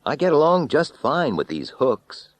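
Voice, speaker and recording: A man narrating a first-person horror story as an audiobook reader, theatrical and intense, swinging between hushed and loud delivery. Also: a little high, big pitch swings, measured pace, no echo.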